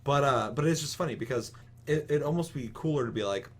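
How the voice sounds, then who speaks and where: A man's voice: mid-range voice, fast. A man co-hosting a casual gaming talk show, ranting with strong opinions in an emphatic, rambling, swear-heavy way. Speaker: podcast co-host.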